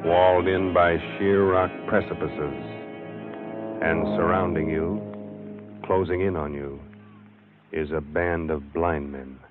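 An ominous, doom-laden narrator with a deep voice and very slow, menacing delivery. It is vintage radio suspense, muffled, under loud plucked music. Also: male voice, steady tone. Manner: slow, menacing, direct address.

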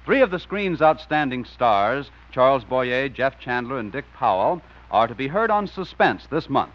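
A man's voice: mid-range voice, sing-song, moderate pace. A male radio announcer giving the closing credits and station promos in formal broadcast style. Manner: crisp, formal announcer delivery. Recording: dull 1950s radio broadcast.

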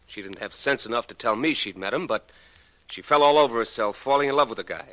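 An actor playing a slangy, embittered Hollywood screenwriter with a wry manner in 1940s radio drama style. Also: male voice, mid-range voice, fast talker, a bit bright, no room sound, natural voice, poor audio. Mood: bitter, recounting.

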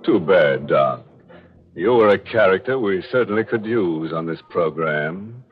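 Slow, low-voiced macabre radio host. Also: male voice, expressive. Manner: macabre, tongue-in-cheek, punning. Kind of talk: 1940s radio horror hosting, scripted. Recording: dull old radio recording with music.

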